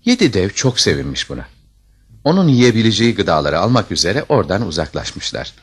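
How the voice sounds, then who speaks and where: A man narrating a fairy tale as a storyteller, with dramatic scripted delivery and a deep, husky voice. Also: sing-song, brisk.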